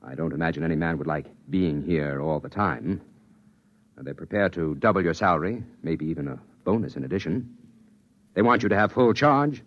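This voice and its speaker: A low voice as a courteous space captain and official. He speaks earnestly, with hesitations, as if trying to persuade. The sound is dull, old radio drama. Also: a little fast. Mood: persuading. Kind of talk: 1950s radio drama acting. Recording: muffled vintage 1950s radio recording.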